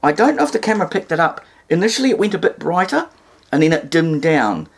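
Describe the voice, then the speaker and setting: A man's voice: fairly high pitch, very animated, fast. Man giving an informal hands-on demonstration. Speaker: hobbyist presenter demonstrating radio repair.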